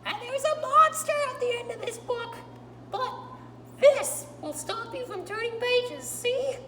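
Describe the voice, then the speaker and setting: Woman doing a fretful furry monster, high and jittery, loud pleading, storybook reading, distant roomy sound. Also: measured pace. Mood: frantic. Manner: loud, fretful, begging the listener. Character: frantic furry male monster, timid and pleading. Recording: roomy, distant mic, steady hum.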